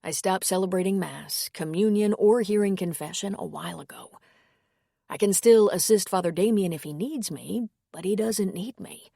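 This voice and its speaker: A woman reading the part of an earnest, gentle middle-aged man who has just left the priesthood, speaking warmly and persuasively. The delivery is close and dry, with no room sound at all. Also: mid-range voice, normal speed, gravelly, no room sound. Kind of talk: audiobook character reading.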